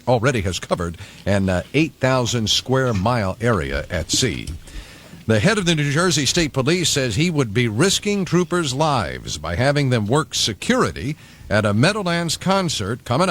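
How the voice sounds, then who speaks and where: Male radio newsman with lively, varied pitch. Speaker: radio news anchor. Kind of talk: scripted local news reading. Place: radio studio.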